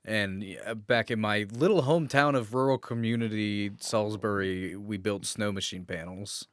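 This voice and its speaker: A man's voice: lowish voice, steady tone, normal speed, fairly bright tone, no echo, studio quality. A man talking casually as a podcast co-host, informal and mildly profane as he swaps stories. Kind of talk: casual chat, swapping work stories.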